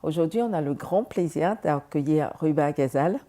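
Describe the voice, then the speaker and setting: A woman's voice: sits low, moderate pace, dark tone. A woman hosting a TV interview, asking long questions with many hesitations. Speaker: host.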